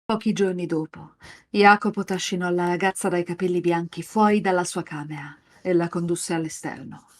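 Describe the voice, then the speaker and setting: A woman's voice: fairly low pitch, steady tone, moderate pace. A woman hosting a live reading, moving between scripted narration and casual chat with co-readers. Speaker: host and narrator of a live visual novel reading.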